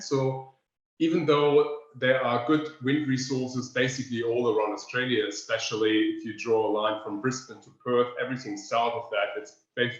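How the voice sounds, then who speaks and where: A man with a German accent, from Germany, presenting research findings in a webinar, pausing often with fillers. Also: mid-range voice. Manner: thoughtful, pausing with frequent fillers. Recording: roomy, muffled lo-fi sound.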